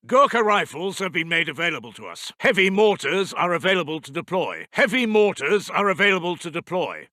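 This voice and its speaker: British wartime intelligence officer, strategy game announcer. Animated, swooping delivery, dry close sound, crisp and martial. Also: male voice, high-pitched, no echo, full character voice. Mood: brisk, alert. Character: eager British WWII military intelligence officer, martial and urgent. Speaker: military announcer briefing the commander. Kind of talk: strategy game voice lines, clipped military announcements.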